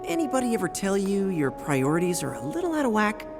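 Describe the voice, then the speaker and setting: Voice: mid-range voice, wildly swooping pitch, quick pace. An actor playing a confident, eager teenage boy hero in a video game, with a flippant, casual delivery. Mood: wry, eager. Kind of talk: scripted video game cutscene acting. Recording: loud music behind the dialogue.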